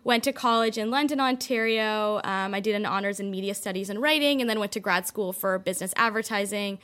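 A young woman with a Canadian accent chats as a podcast guest, her voice sitting at an everyday middle pitch. She runs through her story quickly and casually, with plenty of likes and ums along the way. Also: fairly bright tone. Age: young adult. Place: indoor studio in a building.

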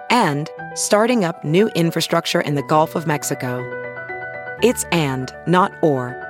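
A woman narrating a scripted advertisement with a polished, measured delivery. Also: low voice. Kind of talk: scripted ad read. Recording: over clear background music.

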